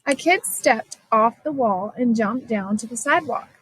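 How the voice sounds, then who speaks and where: A woman reading a children's science story aloud as scripted narration, expressive and clearly phrased. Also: a little high, moderate pace, slight background noise, poor audio. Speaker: storybook reader.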